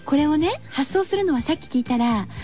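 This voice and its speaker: Very high-voiced, girlish woman radio host. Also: lively intonation, fairly quick, mellow, faint music behind. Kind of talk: casual, chatty radio talk to listeners. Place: radio studio. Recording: slightly dull, lo-fi radio recording.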